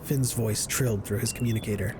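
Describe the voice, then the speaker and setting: A man's voice: lowish voice, fairly even intonation, fairly quick, background noise. A man reading scripted narration for a science fiction audio drama. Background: music.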